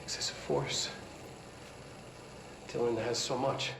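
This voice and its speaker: An actor playing a stressed, defensive research scientist in a video game, talking haltingly and repeating himself in a rambling way. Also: male voice, mid-range voice, fairly even intonation, gravelly. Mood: defensive, pleading. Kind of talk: video game cutscene acting, recorded monologue. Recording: echoey room, loud background noise.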